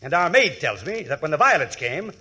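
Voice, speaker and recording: 1940s radio comedy: irate, lovestruck gentleman, high and very sharp, precise, pompous diction. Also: sing-song, a little fast, slightly rough, full character voice, low-quality recording. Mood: aggrieved, confessional. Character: irate, imperious, lovestruck wealthy husband and customer. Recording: vintage 1940s radio broadcast.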